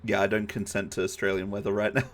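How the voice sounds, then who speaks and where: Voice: low voice, flat delivery, fast, a crowd in the background. Someone chatting casually and jokingly as the host of a group discussion, using playful gamer slang.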